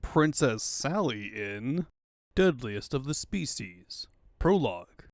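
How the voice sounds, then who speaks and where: A man's voice: mid-range voice, sing-song, slow pace, no room sound, studio quality. A man reading scripted narration for a comic book fandub.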